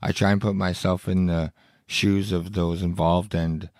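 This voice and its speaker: A man's voice: deep voice, flat delivery, unhurried, a little mellow, dry recording, studio quality. A man hosting a solo podcast who is losing his voice, reading news aloud and commenting on it in a blunt, indignant, profane way. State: hoarse, losing his voice with a cough. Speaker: podcast host.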